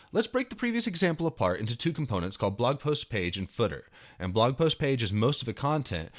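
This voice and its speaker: A man in his thirties presenting a programming tutorial, mixing expressive, emphatic reading aloud with casual walkthrough narration. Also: medium pitch. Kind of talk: dramatic reading of article mixed with casual screencast walkthrough.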